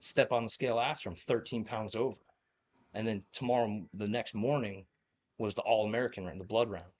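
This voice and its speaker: Low-voiced New Jersey man telling stories. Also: fairly even intonation, brisk, muffled recording. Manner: rambling, filler-heavy, laughs often. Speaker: guest, former college wrestler. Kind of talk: casual interview storytelling.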